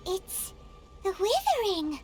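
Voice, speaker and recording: A woman's voice: extremely high pitch, wildly swooping pitch, takes it slowly, a little mellow, faint music behind, heavily acted. A woman voicing a small, naive, chirpy fairy companion in a video game, speaking with childlike exclamations. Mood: puzzled, uneasy. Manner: third-person self-reference, exclamatory, childlike. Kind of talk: video game character acting.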